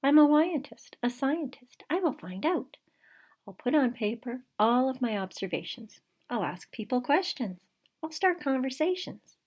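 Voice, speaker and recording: Mujer haciendo de niña científica curiosa, voz aguda. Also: female voice, high-pitched, lively intonation, a little slow, a little mellow, husky, voice pushed up, full character voice. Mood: determined. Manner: inquisitive, earnest. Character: eager, determined, curious young girl scientist. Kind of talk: picture book character voice.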